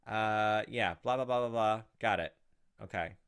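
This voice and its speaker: A man's voice: lowish voice, measured pace, a bit bright, a little hiss or hum. Chatty man thinking aloud over gameplay. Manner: chatty, thinks aloud with frequent ums and likes. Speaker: let's-play host. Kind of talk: casual gameplay commentary.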